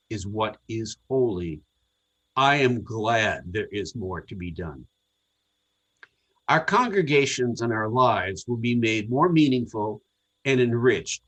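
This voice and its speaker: A man reading a scripted sermon to a congregation in a measured, earnest, deliberate way. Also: mid-range voice, a bit bright, rough recording. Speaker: congregational service speaker.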